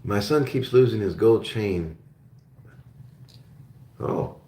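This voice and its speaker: A low-voiced man hosts a casual live question-and-answer stream, chatting loosely and reading viewers' questions aloud. His voice carries a noticeable echo from the room around him. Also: lively intonation, slow pace, warm tone. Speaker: livestream host.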